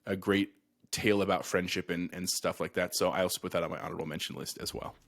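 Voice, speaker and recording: A man's voice: deep voice, steady tone, fast talker, vocal fry. A man co-hosting a casual gaming podcast, talking in an easygoing, conversational way. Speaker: podcast co-host.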